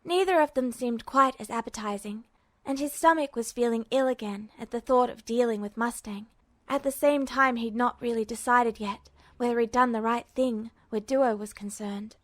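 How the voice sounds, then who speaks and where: A woman reading a fan fiction story aloud with steady narration. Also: fairly high pitch, moderate pace, dry recording. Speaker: podfic reader.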